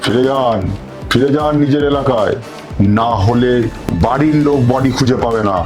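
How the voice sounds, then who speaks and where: A man acts out an intimidating crime boss who rules his turf. He speaks with command and threat at an ordinary male pitch, in audio drama style, with clear background music. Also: steady tone, moderate pace, lightly acted. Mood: menacing.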